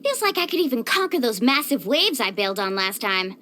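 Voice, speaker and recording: A woman voicing an upbeat, caring, sporty young surfer in a video game, speaking in a peppy, expressive, friendly way. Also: fairly high pitch, fairly quick, a little hiss or hum. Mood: confident, upbeat. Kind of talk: scripted video game voice acting.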